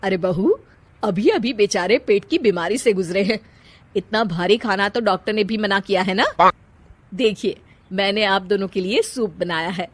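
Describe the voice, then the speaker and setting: A woman's voice: mid-range voice, normal speed, no room sound, close to an everyday voice. A woman voicing an older, scheming mother-in-law who scolds and finds fault in a comic family story. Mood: scolding.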